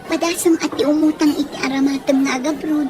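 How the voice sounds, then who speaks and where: A woman's voice: high-pitched, steady tone, fast talker, a crowd in the background, noisy background, natural voice. A woman playing a supportive young friend and co-worker with an Ilocano accent in an audio drama. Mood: friendly, matter-of-fact. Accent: Ilocano Filipino.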